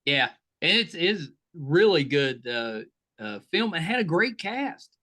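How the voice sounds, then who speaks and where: A man's voice: on the high side, very animated, slow pace, pushed and bright. A man hosting a podcast, chatting casually and in a friendly way with his guest. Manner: friendly, chatty, self-deprecating. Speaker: podcast host. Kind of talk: casual interview chat.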